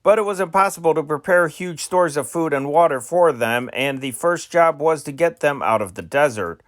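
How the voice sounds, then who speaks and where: A man reading wartime newspaper reports aloud as an amateur newsreader, phrase by phrase in a deliberate manner, with an occasional stumble. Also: fairly high pitch, dry recording, studio quality. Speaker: amateur news reader and channel host.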